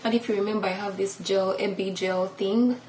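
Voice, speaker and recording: A woman's voice: medium pitch, steady tone, fairly quick, darkish tone. Woman vlogging in an echoey room. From Uganda. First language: Luganda. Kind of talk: casual chatty lifestyle vlog. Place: indoors at home. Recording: echoey room with clear background noise.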